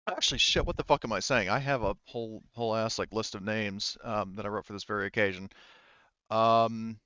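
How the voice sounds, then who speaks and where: A man with a smooth, clear voice narrates a tabletop roleplaying session as game master. He improvises casually and haltingly, swearing freely. The sound is close and dry, if a little dull. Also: lowish voice, bright, forceful tone, no room sound.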